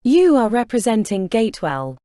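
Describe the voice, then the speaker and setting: A man reading an audiobook, voicing a haughty, stern middle-aged businesswoman in a high, clipped, commanding voice. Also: big pitch swings, moderate pace, warm tone, dry recording, studio quality.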